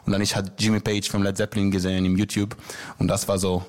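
A young man from Israel speaks German with an Israeli accent and a notably low voice. He talks in broken learner phrasing, stopping to find words. He sounds casual and open as he answers questions in a radio interview. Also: little pitch movement, fast talker. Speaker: guest musician.

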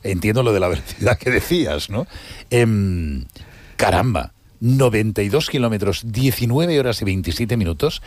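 Male host, lowish voice, dry close mic, friendly affirming interviewer. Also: lively intonation, moderate pace, no echo. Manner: warm, affirming, recaps facts, many "claro". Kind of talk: radio-style interview.

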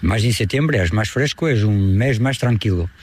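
A man's voice: lowish voice, animated, moderate pace, darkish tone, a little hiss or hum. A middle-aged Portuguese boatman and fisherman with a Portuguese accent. He is a native Portuguese speaker who chats casually and often tags his phrases with a questioning "no?". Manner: chatty, ends phrases with a questioning "no?". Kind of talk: casual interview on a boat.

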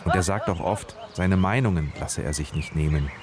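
A man narrating a radio reportage in a calm, scripted storytelling manner. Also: deep voice, moderate pace, a loud crowd.

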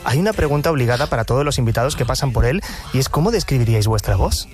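A man from hosts an interview show. He asks his guests quick, upbeat questions. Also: medium pitch, lively intonation. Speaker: radio host. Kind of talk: hosting an interview. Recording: faint background music.

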